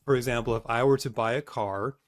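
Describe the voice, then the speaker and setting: A man's voice: medium pitch, steady tone, brisk. Friendly male podcast host. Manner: friendly, reads a scripted intro then asks casual questions. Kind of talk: podcast interview.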